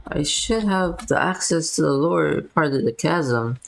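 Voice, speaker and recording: A woman with an extremely low voice chats casually as she plays a video game. She thinks out loud informally, streamer style, with faint music behind her. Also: expressive, a little slow, vocal fry. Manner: informal, musing aloud. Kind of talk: casual gameplay commentary.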